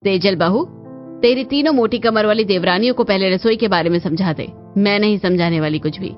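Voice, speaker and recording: Sharp-tongued Indian matriarch played by a woman, scolding and sarcastic, family story drama, music underneath, dull audio. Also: medium pitch, fairly quick, lightly acted. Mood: scornful. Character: stern, scornful middle-aged Indian mother-in-law, sharp-tongued matriarch.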